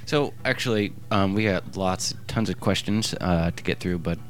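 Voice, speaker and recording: A man's voice: sits low, normal speed. A man hosting a casual interview, rambling and joking with many fillers. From Ohio, USA. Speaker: podcast host.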